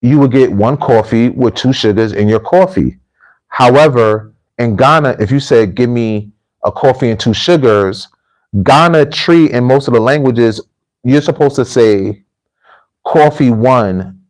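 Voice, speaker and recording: An American man hosts a casual livestream in a medium-pitched voice. He speaks informally and directly to his viewers. He often circles back and repeats a phrase to stress it. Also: a little slow, rough recording. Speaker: livestream host and repatriation coach. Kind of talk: casual livestream talk, addressing viewers and telling anecdotes.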